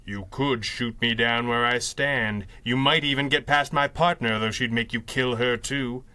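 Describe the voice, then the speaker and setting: An actor playing a calm, principled masked hero in a 1930s-style radio drama, with measured and formal diction. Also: male voice, mid-range voice. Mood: calm, persuasive. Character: calm, principled masked crime-fighting hero, adult man.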